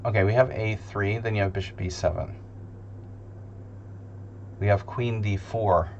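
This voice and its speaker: A man with a slightly low voice talks very slowly as he thinks aloud over a chess game for a stream. His commentary is casual and deliberating, often muttered to himself. The sound is dull, with a loud hum underneath.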